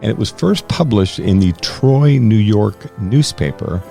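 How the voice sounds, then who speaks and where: Deep voiced guy podcast host, storytime reading, dry sound with a bit of music under it. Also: lively intonation, a little slow, vocal fry, mellow.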